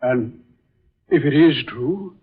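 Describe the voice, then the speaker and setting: An actor playing a solemn, dramatic man in an old-time radio drama, speaking in a deliberate, theatrical way. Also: medium pitch, expressive, husky. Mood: solemn, musing. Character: solemn male film star, grave and dramatic. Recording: muffled, tinny vintage radio recording.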